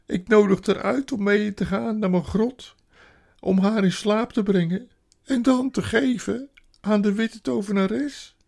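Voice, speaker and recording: A storybook reader voicing a sorrowful, guilt-ridden male faun who wails and confesses haltingly. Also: medium pitch, fairly even intonation, creaky, a little mellow, voice pushed up. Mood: miserable confession. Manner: wailing, self-accusing, halting.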